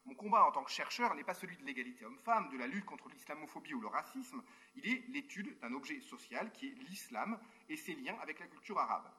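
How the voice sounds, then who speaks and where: Guy reading his conference paper fast over a call, formal wording, little off-script asides, kinda bright tense voice. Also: on the high side, sing-song, slight background noise. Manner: formal written phrasing with spoken asides and hesitations. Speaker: university researcher giving a conference talk. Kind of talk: reading a prepared formal talk.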